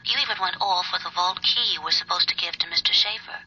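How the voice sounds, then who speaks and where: An actress playing a breezy young secretary, breathy and husky, heard through a telephone in a 1970s radio drama. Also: female voice, fairly low pitch, fast, bright, forceful tone, heavily acted. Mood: amused, coy. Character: young office secretary, breezy and politely evasive. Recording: tinny telephone voice, dull radio recording.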